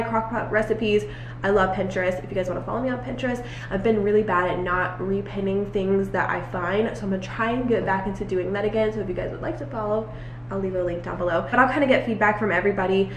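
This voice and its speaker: A woman's voice: mid-range voice, a bit bright. A young American woman and mother chatting casually to camera in a rambling, self-correcting way, sounding stuffy and congested from a cold. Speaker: family vlogger, mother of four. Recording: echoey room with faint noise.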